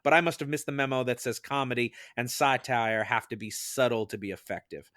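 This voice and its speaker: A man's voice: mid-range voice, steady tone, fairly quick, fairly bright tone, no room sound, clean studio sound. A man hosting a podcast in casual chat, with a teasing, interjecting manner. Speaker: podcast host.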